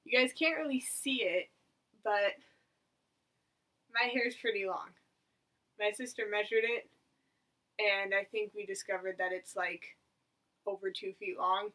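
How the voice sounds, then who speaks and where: A young woman around eighteen chats to her camera in a chatty, rambling way and pokes fun at herself. Her voice is bright and forward. She sits a little back from the mic, so she sounds slightly distant. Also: mid-range voice, measured pace, long pauses. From United States. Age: about 18. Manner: chatty, rambling, self-mocking. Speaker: vlogger. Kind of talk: casual talk to camera.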